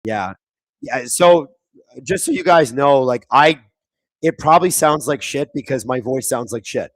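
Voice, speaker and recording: A man with a thin, raspy, hoarse voice hosts a live hockey watchalong, chatting casually, reading viewer comments and calling plays excitedly. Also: mid-range voice, a little slow, no echo. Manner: casual, reads viewer chat aloud; excitable play-by-play on big moments. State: hoarse, voice squeaking. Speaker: watchalong host. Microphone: streaming microphone.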